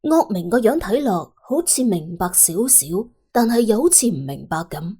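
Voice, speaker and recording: A woman's voice: medium pitch, sing-song, normal speed, warm tone, slightly rough. A woman with a Cantonese accent narrating a story in a colloquial, dramatic storytelling manner. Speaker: audiobook narrator.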